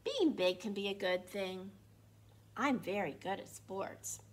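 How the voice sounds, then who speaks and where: A woman voicing a big, gentle male dinosaur from a picture book, speaking slowly and proudly to reassure listeners. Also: mid-range voice, animated, noisy background, natural voice.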